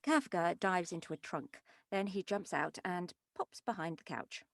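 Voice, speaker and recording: A woman's voice: lowish voice, normal speed, no room sound. A woman reading an animation script's stage directions as narrator at a table read, close on the mic.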